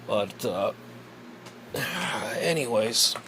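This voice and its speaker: A man's voice: lowish voice, lively intonation, drawn-out words, noisy background. A man chatting casually and bluntly as he rambles through a home video as a radio hobbyist. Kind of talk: casual rambling vlog chat. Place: messy home radio shack, indoors.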